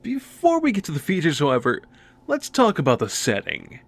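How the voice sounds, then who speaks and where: A man's voice: a little high, wildly swooping pitch, normal speed. A man reading a scripted game preview narration with theatrical, wry, emphatic phrasing. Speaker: video game reviewer and narrator.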